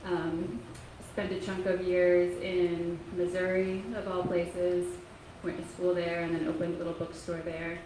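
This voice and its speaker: Soft-spoken woman in an echoey room. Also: on the low side, completely flat delivery. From Kentucky. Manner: soft-spoken, halting, frequent ums. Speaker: author giving a library talk. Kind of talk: casual talk to an audience. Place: public library meeting room. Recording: echoey room, faint noise.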